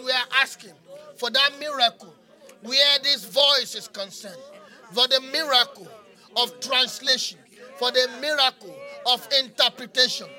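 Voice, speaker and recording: A man's voice: very high voice, pushed and bright. A man preaching in fervent charismatic prayer, repeating phrases like a chant and breaking into speaking in tongues. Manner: impassioned, repetitive, incantatory phrasing.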